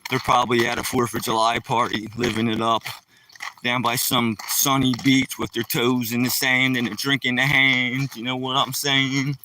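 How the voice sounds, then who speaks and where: A man's voice: medium pitch, flat delivery, normal speed, bright, forceful tone, far from the mic, a little hiss or hum, poor audio. A middle-aged man from Philadelphia with a Philadelphia accent, chatting casually to viewers on a live stream while walking by a lake. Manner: rambling, talks to viewers directly. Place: outdoors by a rural lake. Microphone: phone held while walking.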